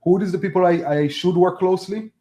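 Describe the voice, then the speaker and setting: A man whose first language is Hebrew, a guest talking casually in an interview, halting and restarting as he speaks in non-native phrasing. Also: high-pitched, warm tone. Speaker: podcast guest.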